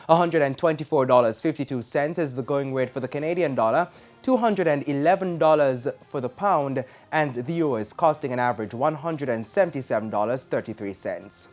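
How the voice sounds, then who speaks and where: A man's voice: on the high side, a little mellow. A man anchoring a scripted business news bulletin in a formal broadcast style. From Jamaica.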